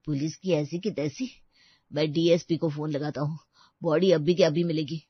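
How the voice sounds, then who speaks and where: Woman acting an indignant, husky male relative. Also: sits low, fairly even intonation, normal speed, dry recording, highs cut off. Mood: furious. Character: agitated, indignant male relative, blunt and emotional. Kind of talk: Hindi moral story cartoon voice acting.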